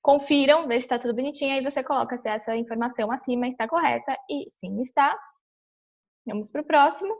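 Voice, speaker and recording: Young brazilian woman from são paulo walking thru a form on webcam, kinda high voice, lots of fillers, muffled lo-fi sound. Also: brisk. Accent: São Paulo Brazilian Portuguese. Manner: chatty, many fillers and restarts. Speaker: vlogger walking through a visa application. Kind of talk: casual screen-share tutorial. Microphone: webcam on a computer. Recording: dull lo-fi webcam audio.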